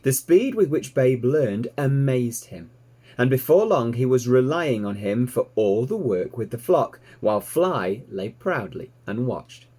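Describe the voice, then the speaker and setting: Expressive, animated man reading a story. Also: mid-range voice, a little slow, breathy edge. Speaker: storybook reader, teacher. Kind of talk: expressive children's story narration.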